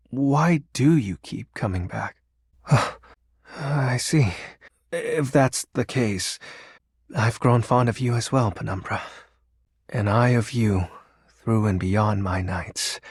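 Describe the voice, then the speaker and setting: An actor voicing a lonely, brooding personification of darkness for a video game, theatrical and grandiose yet awkwardly sincere. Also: male voice, on the low side, slightly rough, no echo, clean studio sound, exaggerated voice. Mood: fond, grandiose. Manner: theatrical grandiose villain speech slipping into awkward, wistful sincerity. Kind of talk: dramatic video game character acting.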